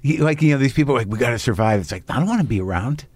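A man hosting an interview, blunt and quick to interject with questions and short affirmations. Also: mid-range voice, animated, darkish tone. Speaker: podcast host. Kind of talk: casual interview chat.

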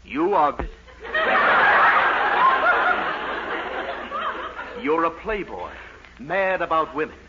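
An actor playing a solemn, commanding psychiatrist hypnotist in a 1940s radio comedy, intoning his words slowly and theatrically. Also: on the high side, very animated, very noisy. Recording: dull old radio recording with music.

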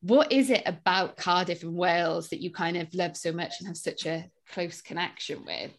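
A woman's voice: on the low side, moderate pace. A woman hosting a podcast, asking interview questions in a friendly way. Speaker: podcast host.

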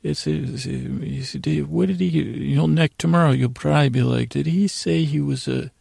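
A man hosting a sleep podcast in a rambling bedtime monologue, halting and meandering, repeating himself and trailing off mid-thought. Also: a little high, creaky, darkish tone, slightly rough, no echo, clean studio sound.

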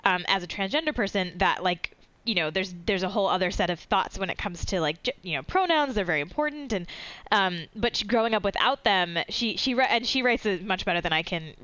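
A woman's voice: mid-range voice, expressive, a little fast, bright, forceful tone. A woman hosting an interview podcast, chatty and rambling with lots of fillers and restarts. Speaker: podcast host. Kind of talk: interview conversation. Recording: dry, dull lo-fi sound.

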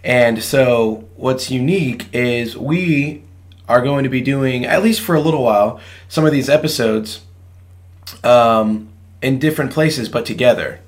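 Young male podcast co-host, voice roughened from a late night, rambling and self-deprecating. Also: mid-range voice, unhurried. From Virginia. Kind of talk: casual chat.

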